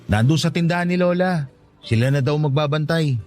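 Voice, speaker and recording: A man's voice: fairly high pitch, a little fast, darkish tone, faint music behind, lightly acted. A man playing a grateful, playfully teasing younger married man in a Filipino radio drama, respectful and affectionate. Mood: casual, caring.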